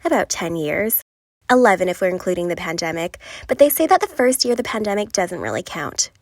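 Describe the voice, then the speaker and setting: A woman playing a lovelorn, frustrated passenger venting confessionally in an animated series. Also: fairly low pitch, fairly quick, no room sound, clean studio sound. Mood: defensive.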